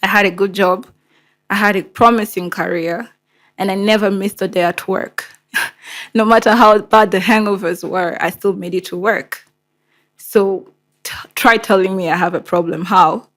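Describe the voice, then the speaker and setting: A woman's voice: mid-range voice, fairly even intonation, moderate pace, a bit bright. A woman with a Rwandan accent and Kinyarwanda as first language, hosting and telling a personal story in a candid, conversational, emphatic way. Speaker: show host.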